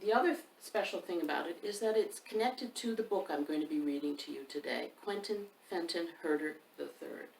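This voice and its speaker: A woman hosting a storytime for children, speaking unhurriedly and directly to young listeners as she chats and reads a picture book expressively. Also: fairly low pitch. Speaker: children's author and storytime host. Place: indoors by a fireplace, roomy sound. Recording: roomy, distant microphone with faint background noise.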